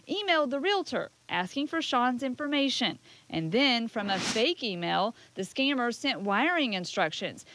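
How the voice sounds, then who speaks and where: A woman with an American accent and a high voice delivers a scripted TV news story. She speaks as a reporter narrating a consumer investigation. There is clear noise and some clicking behind her. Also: fairly bright tone.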